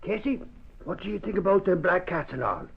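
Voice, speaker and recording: A man's voice: sits high, animated, fairly quick, vocal fry, slight background noise, full character voice. An actor playing a blustery, superstitious newspaper man, talkative and folksy, in old-time radio comedy style. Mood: blustery, uneasy. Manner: folksy, blustery, muddles his words. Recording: muffled old radio recording.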